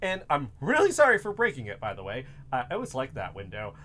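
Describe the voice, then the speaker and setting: A man's voice: mid-range voice, huge pitch swings, fast talker, sharp tone. An actor playing a nervous, evasive young man who stammers and rambles hesitantly in a naturalistic audio drama. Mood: nervous, stalling. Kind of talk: naturalistic fiction podcast acting.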